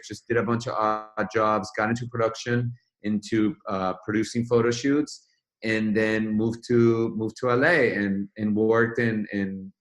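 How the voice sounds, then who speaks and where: A man from Venezuela, a guest in an interview, telling his story in a reflective, rambling way with frequent restarts. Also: lowish voice. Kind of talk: casual interview conversation.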